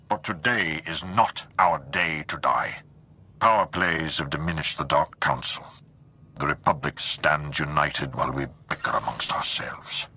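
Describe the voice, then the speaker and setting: Very deep, imperious dark lord. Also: male voice, husky, exaggerated voice. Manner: grave, formal, imperious. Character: stern, commanding male dark lord of a galactic empire. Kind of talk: dramatic video game acting.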